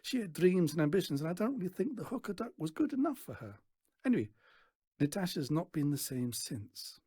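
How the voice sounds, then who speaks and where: A rueful showman father from a children's storybook, acted by the narrator. He talks in a folksy, resigned way with a husky tone and lively pitch. He is kindly and plain-spoken. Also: a little fast, no room sound, clean studio sound.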